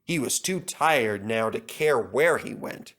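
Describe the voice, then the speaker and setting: A man narrates a children's story with a bright, forceful tone. His reading is expressive and dramatic, swinging widely in pitch. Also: takes it slowly.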